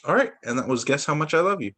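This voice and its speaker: A man reading picture books aloud as a teacher, in an animated, emphatic way meant for young children. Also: a little high, fast, slightly rough. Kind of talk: expressive children's story read-aloud.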